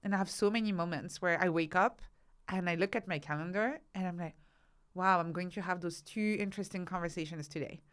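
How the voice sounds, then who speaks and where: A woman's voice: fairly low pitch, brisk, fairly bright tone, clear, resonant tone. A woman speaking as an interview guest, explaining her ideas thoughtfully and emphatically, often building points through lists of examples. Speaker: interview guest, author and researcher.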